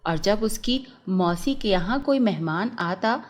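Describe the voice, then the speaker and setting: A woman narrating a children's cartoon story in an expressive storytelling manner. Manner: slow, lively-pitched storytelling.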